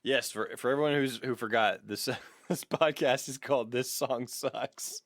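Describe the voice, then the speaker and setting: A man's voice: medium pitch, moderate pace, fairly bright tone, no room sound. Male podcast co-host, dry close sound. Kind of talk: casual comedic podcast chat.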